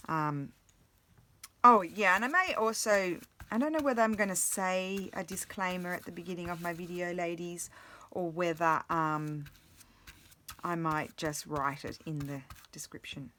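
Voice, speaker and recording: A woman vlogging while she crafts, chatting casually and thinking aloud, her voice a little croaky from the morning. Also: on the low side, measured pace, sharp tone, a little hiss or hum. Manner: rambling, self-interrupting, thinking aloud.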